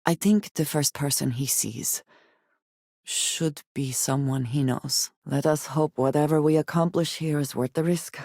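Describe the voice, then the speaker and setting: A woman voicing a guarded, devout space adventurer and loyal companion in a video game, speaking in formal, measured diction. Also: extremely low pitch, creaky, darkish tone, no echo, studio quality, exaggerated voice. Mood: earnest, tender. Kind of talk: video game character dialogue.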